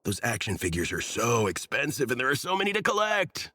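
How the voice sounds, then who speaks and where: A man's voice: medium pitch, huge pitch swings, fairly quick, pushed and bright, slight background noise. An actor voicing an earnest, nerdy young man in a video game, talking at length with enthusiasm and a self-deprecating streak. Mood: rueful. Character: earnest nerdy young man, devoted mecha comic collector. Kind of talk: English video game voice acting.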